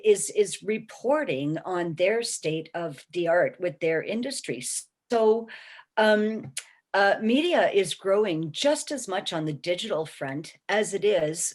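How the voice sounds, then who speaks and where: A woman in her sixties speaking on a webinar panel, emphatic and encouraging, often checking in with "okay?". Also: medium pitch. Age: 60s. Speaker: panelist, small business owner. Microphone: video call.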